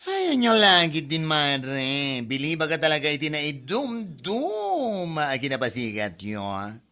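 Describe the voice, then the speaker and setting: Indignant, swooping low-voiced Ilocano woman, played by an actress. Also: wildly swooping pitch, slow, bright, forceful tone, heavily acted. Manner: exclamatory, scolding. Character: indignant, gossipy neighbour scolding show-offs. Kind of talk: Ilocano comedy drama acting.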